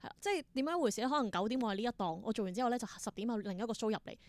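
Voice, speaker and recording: A woman's voice: fairly high pitch, animated, racing, darkish tone, no echo. Hong Kong woman, close dry sound. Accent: Hong Kong Cantonese. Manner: reflective, explanatory, mixes in English words. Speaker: interview guest, theatre performer and creator. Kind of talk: casual interview conversation.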